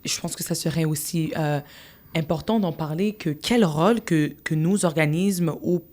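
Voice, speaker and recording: A woman moderates a podcast panel in a low voice. She frames her questions haltingly and keeps up a steady stream of short affirmations. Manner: backchannels often, asks questions haltingly. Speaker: podcast host.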